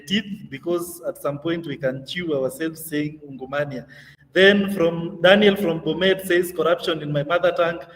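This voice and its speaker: A man's voice: high-pitched, in a large hall, distant mic, low-quality recording. A man moderating an online youth training session, talking conversationally with plenty of fillers. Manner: conversational, many fillers, laughs easily.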